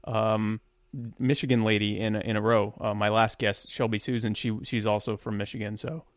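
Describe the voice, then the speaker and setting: A man's voice: lowish voice, little pitch movement, normal speed, dry recording. Easygoing male host with a dry sound. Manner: easygoing, self-deprecating, with fillers. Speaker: show host. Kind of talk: casual conversation.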